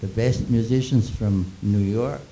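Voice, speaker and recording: A man in his nineties, born in Austria with German as his first language, telling old stories in a halting, chuckling way with many restarts. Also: lowish voice, creaky, very noisy. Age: 90s. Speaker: interviewee. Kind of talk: reminiscing Q&A.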